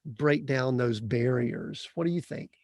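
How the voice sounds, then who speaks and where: A man's voice: mid-range voice, a little slow, a little mellow, no echo, studio quality. A man hosting a podcast interview, asking earnest, rambling questions full of restarts. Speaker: podcast host.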